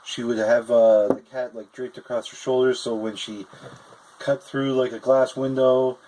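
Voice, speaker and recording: A man's voice: medium pitch, little pitch movement, moderate pace, dark tone, poor audio. A man joining a friend's toy review, chatting casually and recalling details with many fillers. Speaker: guest, friend of the host. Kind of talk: casual chat.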